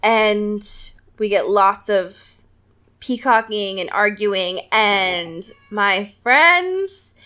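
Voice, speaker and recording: A woman's voice: mid-range voice, expressive, drawn-out words, bright, forceful tone, clean tone. A woman podcast co-host in casual, dramatic fangirl chat about a book, full of slang and giggles. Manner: giggly, laughs often.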